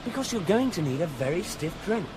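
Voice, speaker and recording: An actor playing an insistent alien passing as an ordinary man, brisk and urgent, in a comic radio drama. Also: high voice, very animated, noisy background.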